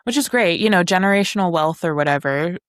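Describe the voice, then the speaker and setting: A woman's voice: fairly low pitch, animated, quick pace, no echo, clean studio sound. A woman co-hosting a podcast, chatting casually about books with a wry, sometimes sweary manner. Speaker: podcast co-host. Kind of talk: casual book discussion chat.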